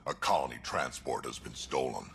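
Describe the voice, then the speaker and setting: Gruff alien warrior, rumbling fry, blunt threats. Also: male voice, animated, moderate pace, fairly bright tone, slight background noise, exaggerated voice. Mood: determined. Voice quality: deep gravelly voice. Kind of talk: video game dialogue.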